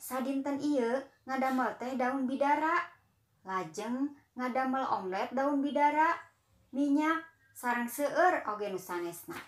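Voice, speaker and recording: A young woman with a Sundanese accent, reading a children's story in a gentle, unhurried way. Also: sits high, lively intonation, reverberant. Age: young adult.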